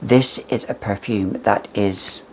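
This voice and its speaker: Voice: deep voice, fairly even intonation, slow, a little mellow, slight background noise, poor audio. A perfume reviewer chatting casually and emphatically to camera, with a whimsical, conversational manner.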